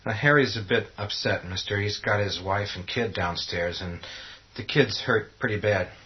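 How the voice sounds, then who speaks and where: A man's voice: on the low side, normal speed, lightly acted. A man playing a polite, placating young survivor in a horror radio play, speaking in a conciliatory way. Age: young adult. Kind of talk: radio horror drama acting. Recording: dull lo-fi audio.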